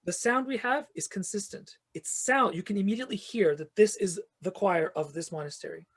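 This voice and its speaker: A man with a somewhat high, husky voice speaks as a podcast guest. He explains in long, earnest stretches, circling back and restarting as his ideas build. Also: a little fast, a bit bright. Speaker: guest, fantasy author and choir conductor. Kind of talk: reflective podcast interview answers.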